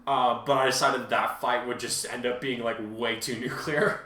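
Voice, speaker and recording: A man hosting a casual chat about his own writing, halting and rambling as he repeats himself. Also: medium pitch, steady tone, far from the mic, a crowd in the background. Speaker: podcast host and fan fiction author. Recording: roomy, echoing home recording.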